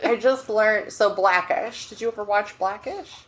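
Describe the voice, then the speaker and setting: An American woman co-hosting a podcast, chatting casually with expressive, laughing asides and restarts. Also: mid-range voice, moderate pace. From United States. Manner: expressive, gestures and laughs, trails off mid-thought. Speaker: podcast co-host. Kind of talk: casual chat.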